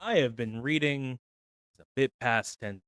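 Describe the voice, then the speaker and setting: A man cold reading folk tales aloud on a stream, unhurried and measured, his voice feeling a little rough after an hour. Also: medium pitch, fairly bright tone, no room sound, clean studio sound. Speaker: reader.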